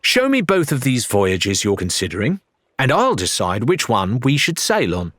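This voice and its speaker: A man voicing a proud, ambitious young woman pirate with a flat, supercilious, challenging manner in an audiobook. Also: mid-range voice, moderate pace, no room sound, studio quality. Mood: flat, commanding. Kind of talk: audiobook character acting.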